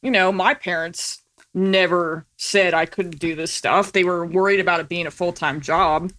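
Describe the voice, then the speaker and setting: A woman's voice: fairly low pitch, fairly even intonation, moderate pace, fairly bright tone. A woman talking casually and candidly as a podcast guest, telling informal stories and acting out bits of past conversations. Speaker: podcast guest, creative filmmaker.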